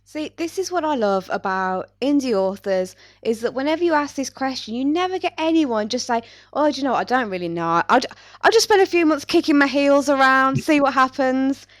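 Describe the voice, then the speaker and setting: A woman's voice: sits high, expressive, a little fast, no room sound, clean studio sound. A woman hosting a casual podcast chat in a chatty, wry manner. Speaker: podcast host.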